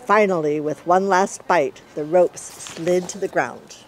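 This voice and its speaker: A middle-aged woman reading a children's story aloud in an expressive storyteller's way. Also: fairly low pitch, a little slow, noisy background.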